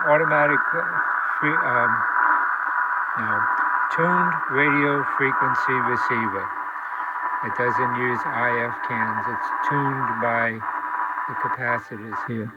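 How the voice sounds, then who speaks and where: A man giving a casual, halting, matter-of-fact hands-on demonstration as a radio repairer. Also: mid-range voice. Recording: muffled, very noisy, radio static and hum. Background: antique radio static and interference.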